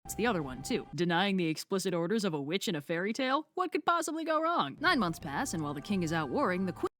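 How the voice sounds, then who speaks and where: A woman's voice: lowish voice, big pitch swings, fast, a bit bright, soft background music. A woman delivering scripted comic storytelling narration in a breezy, sardonic manner.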